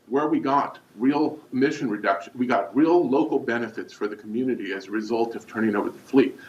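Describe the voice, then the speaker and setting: A man representing an industry group, giving a measured, argumentative public comment at a meeting. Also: medium pitch, a little hiss or hum. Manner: measured, policy-minded, argumentative. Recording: roomy, distant, dull hall mic.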